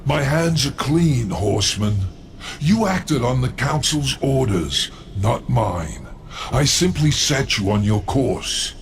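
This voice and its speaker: An actor playing a sly, scheming demon lord in a video game, with a smug, deliberate, theatrical delivery. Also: male voice, medium pitch, big pitch swings, creaky, a little hiss or hum, heavily acted. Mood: smug, defiant.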